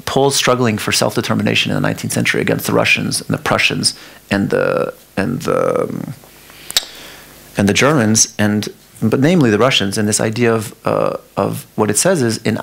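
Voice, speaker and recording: A man's voice: fairly low pitch, lively intonation, vocal fry, a bit bright, breathy edge. A man whose first language is Farsi gives a talk about his art at a museum, often restarting his sentences as he thinks aloud. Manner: halting, restarts often, talks through ideas. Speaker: artist giving a talk. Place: museum lecture hall.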